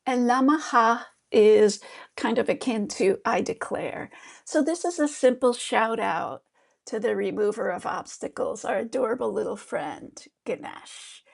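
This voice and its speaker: A woman with a high voice, a middle-aged yoga therapist gently guiding a mantra meditation lesson with easy, encouraging talk. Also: a little slow, creaky. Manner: gentle, encouraging, with fillers and restarts.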